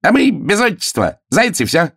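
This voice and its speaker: Excitable high-pitched cartoonish hare. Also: male voice, takes it slowly, no echo, studio quality, exaggerated voice. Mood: cheerful, boastful. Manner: blurting, exclamatory, easily astonished. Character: excitable, naive, boisterous young male hare.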